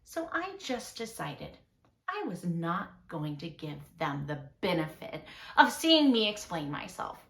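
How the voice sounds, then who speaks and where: A woman acts out a frazzled suburban mother telling her story as a confessional monologue. Her voice is bright and very animated in pitch. She rambles conversationally and keeps justifying herself. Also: a little slow, echoey room, exaggerated voice. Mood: defiant, wistful.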